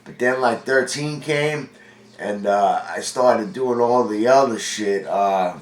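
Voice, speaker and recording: A man's voice: on the low side, slow pace, distant mic. Forty-year-old man, congested, profane rambling storytelling, roomy room, set back from the mic. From Long Island, New York. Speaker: vlogger. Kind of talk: casual storytelling monologue. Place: indoor room with a window.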